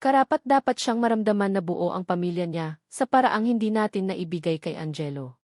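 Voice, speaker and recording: A woman voicing a hurt but firm expectant mother in an audio love story, speaking softly, steadily and with some hesitation. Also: mid-range voice, lively intonation, a little fast, no room sound, clean studio sound, natural voice. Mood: guarded, hurt.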